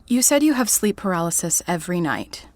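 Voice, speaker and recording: A calm female therapist in an audio drama. Her voice is fairly low and recorded very dry. She speaks in measured, reassuring phrases. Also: no echo.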